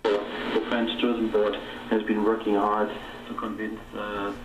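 A man giving measured, hesitant explanations in an unscripted broadcast discussion, heard through a shortwave radio speaker. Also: lowish voice, completely flat delivery, noisy background. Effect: played through a shortwave radio speaker. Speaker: radio commentator on tourism.

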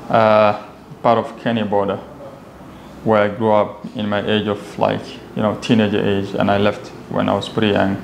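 A man's voice: on the low side, monotone, normal speed, gravelly. A young man from Somalia with a Somali accent, a native Somali speaker, telling his own story casually as an interview guest. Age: young adult. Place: indoor office lounge with glass walls. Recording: echoey room, faint background noise.

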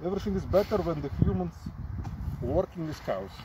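A man in his forties with a Russian accent, talking in halting English and reciting poetry slowly and dramatically in an open field outdoors, recorded by a camera a few meters off. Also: fairly high pitch, lively intonation. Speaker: performance artist. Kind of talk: deadpan comic monologue with recited poetry. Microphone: camera mic at a few meters. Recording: distant outdoor mic, faint field noise.

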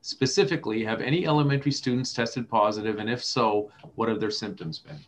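A man's voice: fairly low pitch, brisk, a little hiss or hum. A man reading viewer questions aloud to guests, methodical.

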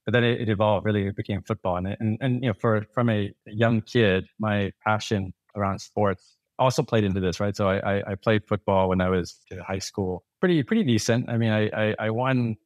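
Male guest, forties, low voice, dry close sound, rambling talk. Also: a little fast, few pauses, no echo. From Thailand. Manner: rambling, many restarts and fillers. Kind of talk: casual interview storytelling.

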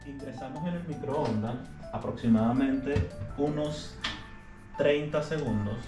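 Casting: thirties male home-cook host, steady mid pitch, instructive, music bed under voice. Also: unhurried. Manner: instructive, walks viewers through steps. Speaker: cooking show host. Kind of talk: casual recipe demonstration. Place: home kitchen, indoors. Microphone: handheld action camera. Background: background music.

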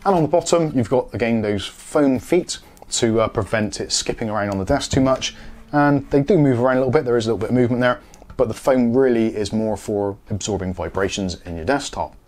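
A man's voice: mid-range voice, a little fast, darkish tone. A man in his forties explaining a product in a matter-of-fact way, in a casual hands-on review. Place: small home studio room.

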